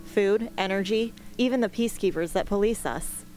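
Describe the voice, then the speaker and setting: A young woman playing a determined, anguished teenage girl rebel in an amateur radio play, speaking earnestly and with measured care. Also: medium pitch, darkish tone, slight background noise, close to an everyday voice. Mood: sombre, resolute. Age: young adult. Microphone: close studio microphone on stand.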